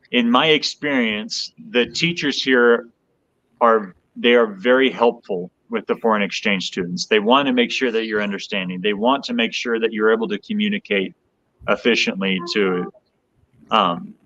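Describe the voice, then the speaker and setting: A man's voice: lowish voice, expressive, measured pace, creaky, bright, forceful tone. American man chatting, slightly muffled sound. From United States. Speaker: podcast guest. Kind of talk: casual conversational explaining.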